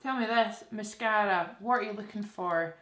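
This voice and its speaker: A woman's voice: medium pitch, normal speed, a bit bright. A young woman with a Scottish accent chatting casually through a makeup tutorial, often putting questions to her viewers. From Scotland. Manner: informal, conversational, addresses viewers with questions. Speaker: beauty vlogger presenting a makeup tutorial. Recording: roomy, echoey indoor sound.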